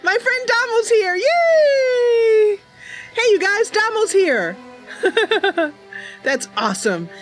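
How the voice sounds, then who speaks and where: A woman's voice: very high voice, very animated, slow pace, long pauses, soft background music. A bubbly, chatty woman livestreamer talking casually and improvising roleplay as she plays a game. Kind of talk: casual live stream chat with improvised roleplay.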